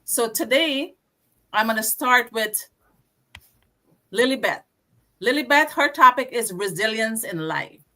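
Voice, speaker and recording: A woman hosting an online group call, briskly calling on each guest by name. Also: on the high side, lively intonation, pushed and bright. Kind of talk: casual video call hosting.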